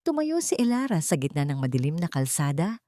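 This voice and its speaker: A woman's voice: deep voice, sing-song, brisk, dark tone, dry recording, studio quality. A woman with a Filipino accent reading a scripted story as a dramatic narrator.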